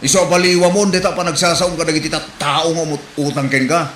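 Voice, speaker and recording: A man's voice: a little high, fairly even intonation, fast talker, fairly bright tone, lightly acted. A man playing an angry, fed-up, sarcastic husband with an Ilocano accent in a comedy drama. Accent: Ilocano Filipino. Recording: roomy echo, clear background noise.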